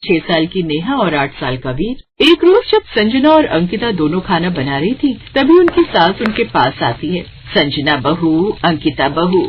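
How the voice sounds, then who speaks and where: A woman's voice: on the low side, sing-song, moderate pace, few pauses, dull audio. A woman narrating a scripted children's story as its storyteller.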